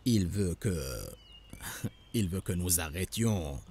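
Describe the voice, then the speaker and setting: Nasty mocking villager from a cartoon, sneers and chuckles, talks super slow. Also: male voice, low voice, dark tone, husky, exaggerated voice. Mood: mocking amusement. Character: mocking, wicked townsman, gleefully shameless. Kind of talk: animated religious story acting.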